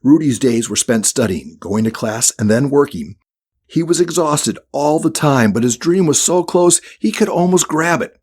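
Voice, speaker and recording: A man's voice: fairly high pitch, lively intonation, normal speed, darkish tone, breathy edge. A man reading a scripted children's audiobook as the narrator.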